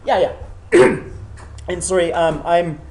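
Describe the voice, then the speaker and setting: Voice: mid-range voice, fairly even intonation, slow pace, darkish tone, slight background noise, rough recording. Teacher with a cold leading class. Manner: checks in often with the class, patient and encouraging. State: has a cold. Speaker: math teacher. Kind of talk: classroom lecture with questions to students. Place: classroom with students.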